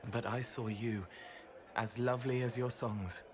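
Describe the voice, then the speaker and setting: An actor voicing an odd, gentle young male spirit in a video game who speaks quietly, plainly and strangely earnestly. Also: medium pitch, steady tone, measured pace, crowd noise, close to an everyday voice.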